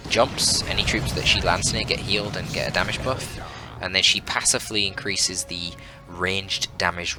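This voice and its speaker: A man giving live, analytical and conversational commentary on a strategy game, as a shoutcaster does. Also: sits low. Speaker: esports shoutcaster. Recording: voice over loud game audio. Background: loud game music and battle sounds.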